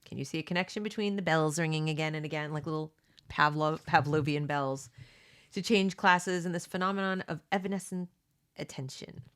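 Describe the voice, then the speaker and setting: A woman's voice: sits low, fairly quick, fairly bright tone, smooth. A middle-aged woman, a homeschooling parent, reading a book aloud and breaking off into earnest, opinionated asides, often checking in with "okay?" and "you know.". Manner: reads passages then breaks off into emphatic asides. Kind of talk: read-along with conversational commentary.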